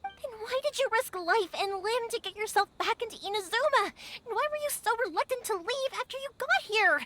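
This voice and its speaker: A woman's voice: very high-pitched, lively intonation, brisk, bright, forceful tone, slight background noise, exaggerated voice. A woman voicing a spirited, bold young woman who makes fireworks, in video game dialogue. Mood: pleading. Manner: bold, bubbly, insistent.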